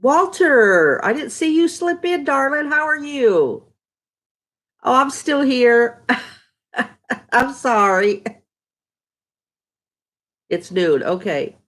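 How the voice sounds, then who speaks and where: A woman's voice: sits high, big pitch swings, extremely slow, pauses often, a bit bright. A middle-aged woman with a stuffed-up, nasal voice, chatting casually with viewers and greeting them by name on a live stream from home. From Texas. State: stuffy, congested nose. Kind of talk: casual live chat reading viewer comments.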